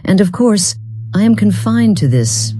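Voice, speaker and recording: A woman voicing an enigmatic, proud, long-lived part-human being in a fantasy game, speaking formally and deliberately in archaic phrasing. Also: medium pitch, big pitch swings, dark tone, breathy edge, no room sound, clean studio sound. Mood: guarded, solemn. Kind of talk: scripted fantasy game dialogue.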